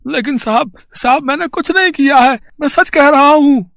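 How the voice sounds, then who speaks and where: A humble poor village vendor played by a man in a storybook drama. The voice is high for a man and dry, with an earnest, hesitant, polite manner. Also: moderate pace, vocal fry, fairly bright tone, dry recording, exaggerated voice. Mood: pleading. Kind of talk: acted storybook dialogue.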